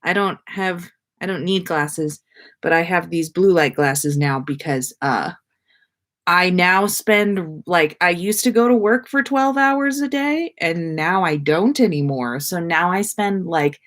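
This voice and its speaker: A woman with a somewhat low voice hosts a relaxed-format livestream. She takes her time, speaking very slowly as she responds to chat comments. Her wording is informal and slangy, with the odd swear word. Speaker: podcast host. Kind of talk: casual livestream chat reading viewer comments.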